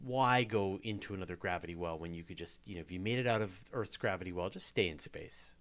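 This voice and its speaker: A man with a Canadian accent hosting a live space podcast, relaxed and reading out viewer questions. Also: sits low, quick pace. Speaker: podcast host. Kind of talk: casual live Q&A chat.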